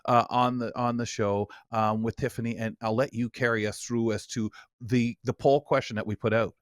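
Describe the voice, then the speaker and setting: A man's voice: mid-range voice, fairly even intonation, moderate pace, no echo, studio quality. A man hosting a talk show in a chatty, rambling way that often restarts and circles around.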